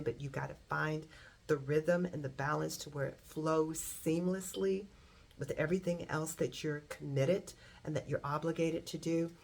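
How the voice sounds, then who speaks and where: A middle-aged woman with a very deep, husky voice, giving an earnest motivational talk and stressing key words deliberately. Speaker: motivational coach and singer.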